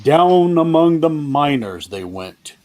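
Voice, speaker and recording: Slow-paced man narrating a story. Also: on the high side, very animated, warm tone. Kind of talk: dramatic scripted narration.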